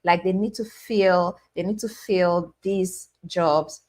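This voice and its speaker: A woman's voice: on the low side, steady tone, takes it slowly, a little mellow. A young woman hosting a casual live stream, chatting and reading out viewers' names with many restarts and fillers. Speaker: livestream host.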